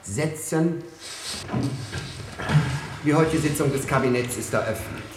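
An actor playing a fussy, formal master of ceremonies in a satirical German radio play, announcing and giving clipped commands. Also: male voice, a little high, measured pace, background noise, natural voice. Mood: officious, commanding. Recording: echoey room with loud music.

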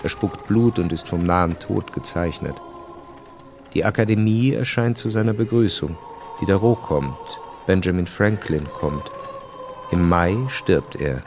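An actor narrating a historical radio drama in a sober, matter-of-fact way. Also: male voice, low-pitched, measured pace, music in the background. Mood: sober, detached.

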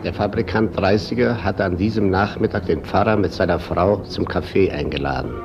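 Lowish-voiced male radio play narrator. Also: fairly quick, vocal fry. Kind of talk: scripted radio drama narration. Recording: dull sound, music behind.

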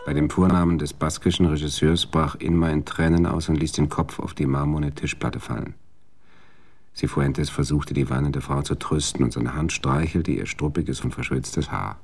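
A man narrating a radio drama in a measured, literary storytelling manner. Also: rumbling low, fairly even intonation, a little mellow. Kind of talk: scripted narration.

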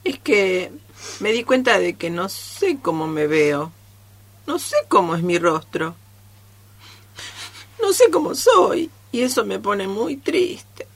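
A naive, tearful giraffe, played by a woman in a radio storybook. The voice is slow and plaintive, swooping dramatically up and down in bewilderment. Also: mid-range voice, sing-song, full character voice. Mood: sad, bewildered.

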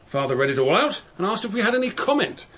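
Nervy British heir, high pitch, very lively intonation, agitated, radio play. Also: male voice, fast. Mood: anxious, flustered. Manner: agitated, faltering, appealing for agreement. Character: flustered, anxious grown son of wealthy family. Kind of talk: radio drama dialogue.

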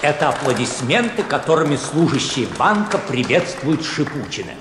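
A man's voice: fairly high pitch, very animated, moderate pace, crowd noise, noisy background. A man narrating a radio play, reading titles and stage directions in a scripted theatrical style. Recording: echoey hall reverb with music behind.

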